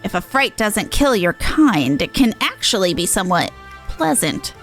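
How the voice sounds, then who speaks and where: A woman's voice: mid-range voice, very animated, moderate pace, faint music behind. A woman voicing a male monster clown from a video game, with theatrical, old-fashioned courtly phrasing. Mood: musing. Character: male monster clown, gruff-named, theatrical, eager to scare.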